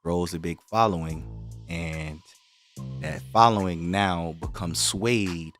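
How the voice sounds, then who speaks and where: Deep-voiced man hosting a casual livestream. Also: takes it slowly, soft background music. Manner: relaxed, direct, slangy, explaining points at length. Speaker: livestream host. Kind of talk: casual livestream talk to viewers.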